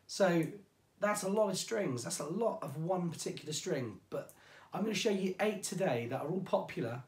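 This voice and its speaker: Hoarse English man demonstrating strings. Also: fairly high pitch, reverberant. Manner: chatty, informal, self-deprecating. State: losing his voice. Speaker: ukulele shop presenter. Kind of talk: product demonstration.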